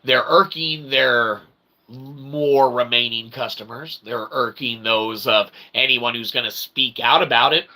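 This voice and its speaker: A man giving rambling, irreverent opinion commentary in wordy, repetitive, slangy phrasing, often checking in with a quick tag question. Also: mid-range voice, sharp tone, poor audio. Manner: punctuates points with "okay?" and "all right?". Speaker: host of a commentary channel.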